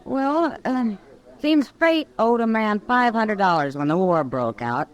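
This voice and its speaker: A woman's voice: mid-range voice, expressive, measured pace, natural voice. A woman playing a sly, easygoing frontier gossip in an old-time radio western, with an American accent. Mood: amused storytelling.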